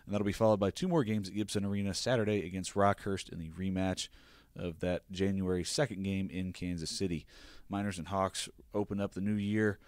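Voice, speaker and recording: Low-voiced upbeat college sports host. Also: male voice, steady tone, brisk, a little mellow, no echo. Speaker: podcast host. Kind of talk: sports recap and interview.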